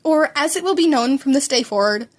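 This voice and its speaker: A woman's voice: high-pitched, lively intonation, brisk. A woman voicing an earnest adult male hero mentor, formal and hesitant, in a story reading. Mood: formal, approving.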